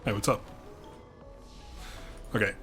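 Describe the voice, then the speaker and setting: A man livestreaming a video game, chatting informally and thinking aloud in a halting, casual way. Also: a bit bright, soft background music. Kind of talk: casual livestream chat over gameplay.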